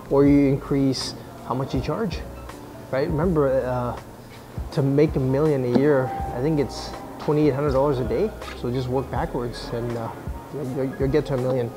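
Casual man in his forties, blunt business Q&A, music behind, restarts lines. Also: mid-range voice. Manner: blunt, conversational, frequent restarts. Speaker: business owner answering viewer questions. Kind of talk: casual Q&A chat. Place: indoor atrium of a mall or coffee shop. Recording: music under the voice. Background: background music.

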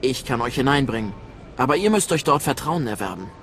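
A man playing a proud, grave Sith apprentice recruiter in a German game dub, formal and measured. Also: medium pitch, expressive, fairly bright tone, a little hiss or hum, close to an everyday voice. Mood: scornful, instructing.

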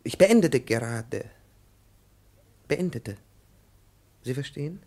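An actor playing a timid, over-polite elderly professor in a 1950s radio play, halting and profusely courteous. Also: male voice, medium pitch, lively intonation, warm tone. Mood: apologetic, courteous. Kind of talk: 1950s German-language radio play acting. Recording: 1950s radio drama recording.

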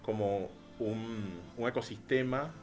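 A man from Venezuela talks as a guest in a small radio studio. He speaks haltingly and searches for his words. Faint music plays under his voice. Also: on the low side. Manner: hesitant, searching for words, restarts. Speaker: guest artist. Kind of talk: casual interview chat. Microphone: studio microphone with headphones.

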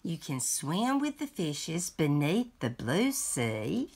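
An older woman reading a picture book aloud for young children, pronouncing it word by word in a deliberate way. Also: low voice, very animated, darkish tone, slight background noise. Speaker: read-along host. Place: indoors at home.

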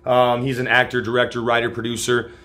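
A man in his forties hosting a horror show, giving an energetic, loud intro with casual swearing. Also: mid-range voice, fairly quick. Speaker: host. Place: home room, solo on camera.

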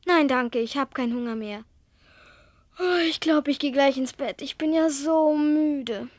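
A woman's voice: sits high, measured pace, dry recording, studio quality. A female performer playing an eager, well-mannered little schoolgirl in a radio play. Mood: polite, shy. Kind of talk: German radio play acting.

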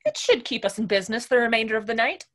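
Brief: woman as young male Elizabethan player, defiant, archaic speech, pitched high. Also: expressive, lightly acted. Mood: wry. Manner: archaic stage speech, quick retorts. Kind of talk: audio drama acting.

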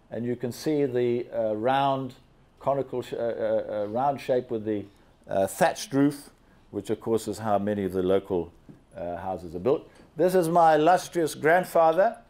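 An older man from Zambia holds a handheld microphone as he walks a seated audience through a slide presentation. He talks in an animated way and keeps asking the listeners questions, with a voice in a middle pitch. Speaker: guest speaker. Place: large banquet hall with seated audience.

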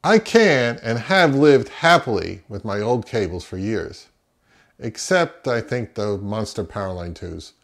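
Middle-aged male audio gear reviewer, lowish pitch, conversational product-review narration for a home channel. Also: expressive, unhurried. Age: 50s to early 60s. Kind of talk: conversational, to camera.

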